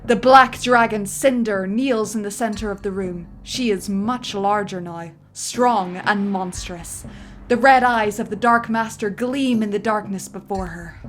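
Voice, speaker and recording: A woman's voice: medium pitch, a little slow. A woman narrating a film script with a theatrical, emphatic delivery.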